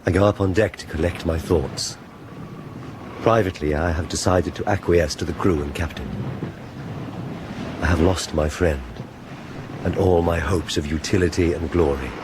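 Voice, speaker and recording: An actor playing a troubled, commanding ship's captain in a radio drama, sombre and deliberate. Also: male voice, low-pitched, steady tone, natural voice. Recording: loud wind-like ship ambience.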